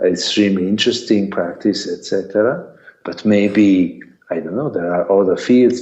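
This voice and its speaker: A middle-aged man with an Italian accent giving a lecture to students, deliberate and repetitive, counting his points off one by one. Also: low-pitched, darkish tone. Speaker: sports lawyer giving a talk. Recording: echoey, dull lo-fi sound.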